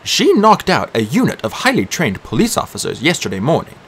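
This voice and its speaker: A man doing a calm calculating schemer. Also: mid-range voice, gravelly, slightly rough, voice pushed up, heavily acted. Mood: measured. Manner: measured, curious.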